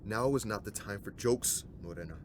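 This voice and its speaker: A man's voice: lowish voice, normal speed, lightly acted. A man playing a cold, stern middle-aged father and agency commander in an audio drama, formal and severe. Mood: cold, commanding. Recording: clear ambient noise behind voice.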